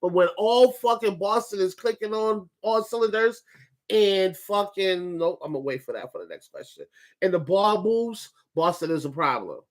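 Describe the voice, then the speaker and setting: A man's voice: high voice, big pitch swings, moderate pace. A man with a light voice hosting a casual sports podcast, talking loosely and profanely over his co-hosts. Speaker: podcast host.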